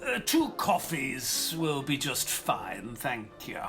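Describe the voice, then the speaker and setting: Slow-spoken, wry doctor, expressive. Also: mid-range voice, soft background music, exaggerated voice. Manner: dry, polite, wry. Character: English army doctor, dry-witted, proper. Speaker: narrator and companion. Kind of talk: audio drama narration and acting.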